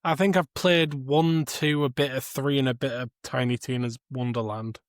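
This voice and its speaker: A man co-hosting a podcast in casual film chat, halting and repeating phrases as he argues. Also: medium pitch, steady tone, dry recording, studio quality. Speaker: podcast co-host.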